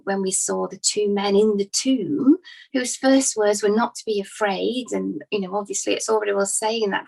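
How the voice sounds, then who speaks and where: A woman's voice: medium pitch, gravelly, husky, low-quality recording. A woman playing a devoted, grieving female follower giving hesitant, conversational testimony in a dramatized inquest. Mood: shaken, hopeful.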